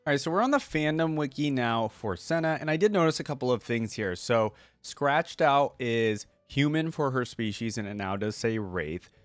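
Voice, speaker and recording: A man's voice: medium pitch, expressive, moderate pace, hardly any pauses, no echo. A man hosting a reaction video, speaking casually and with enthusiasm as he thinks aloud. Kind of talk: casual reaction commentary, partly reading aloud.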